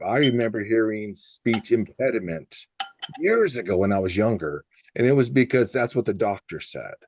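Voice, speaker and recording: A man who is a speech-language pathologist leading a casual group discussion, talking loosely with quick bursts and restarts. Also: lowish voice, a little mellow, no echo, muffled recording. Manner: conversational with many fillers and restarts, sometimes rapid.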